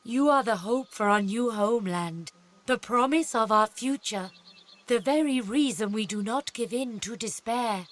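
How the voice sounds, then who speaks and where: A woman playing a blind elf seer in a fantasy game, speaking gravely and haltingly in archaic phrasing. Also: a little high, lightly acted. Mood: reverent. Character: solemn blind female elf seer, accusing and reverent. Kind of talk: fantasy video game acting.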